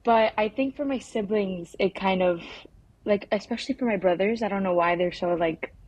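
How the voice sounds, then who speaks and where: A young woman from the United States answering interview questions as a documentary filmmaker, in a casual, halting, informal way full of filler words. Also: medium pitch, darkish tone, no echo, rough recording. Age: young adult.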